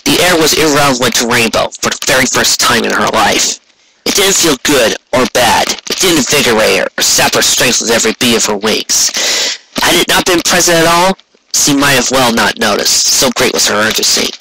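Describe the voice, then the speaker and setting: A man's voice: mid-range voice, animated, moderate pace, pushed and bright, low-quality recording. A man reading scripted story narration on an amateur recording with noise and clicks. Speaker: audiobook reader. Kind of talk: scripted fan fiction narration.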